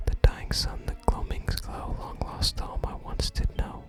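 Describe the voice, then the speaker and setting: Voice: unhurried, close to an everyday voice. An ASMR gamer voicing a wistful wandering male bard from a fantasy game, whispering his florid, archaic lines. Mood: lofty, musing. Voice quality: unvoiced whisper. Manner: archaic, theatrical phrasing.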